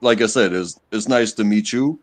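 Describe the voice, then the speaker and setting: A lowish-voiced man from the United States chats as a guest on a live stream. He repeats words and stumbles over fillers as he talks. His voice sounds dry and close. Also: normal speed, no room sound. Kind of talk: casual live-stream chat.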